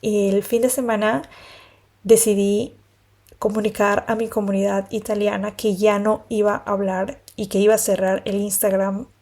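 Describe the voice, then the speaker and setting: A woman hosting a podcast, talking to her listeners in an earnest, unhurried way about her own story, with pauses between phrases. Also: medium pitch, little pitch movement, darkish tone. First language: Spanish. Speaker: podcast host, pilates and yoga teacher. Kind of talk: personal reflective monologue.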